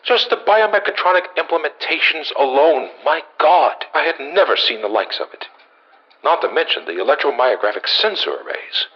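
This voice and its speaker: An actor playing a gruff, wry male senior scientist, dictating a formal yet musing, dryly humorous audio log for a game through a radio-like filter. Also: fairly high pitch, very animated, moderate pace, full character voice. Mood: awed, admiring. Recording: muffled lo-fi audio log recording.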